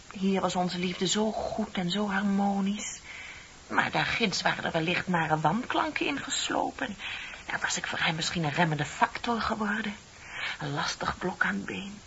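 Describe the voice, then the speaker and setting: A muffled radio play recording with soft hiss carries a young woman. Her voice is on the low side, heartbroken and ashamed. She confesses dramatically, sinking at times into breathy near-whispers. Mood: wistful, resigned. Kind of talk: Dutch radio play acting.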